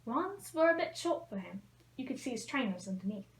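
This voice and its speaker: High-voiced woman reading a story aloud. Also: big pitch swings, brisk, low-quality recording. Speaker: storyteller in character as a witch. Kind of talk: storybook read-aloud narration.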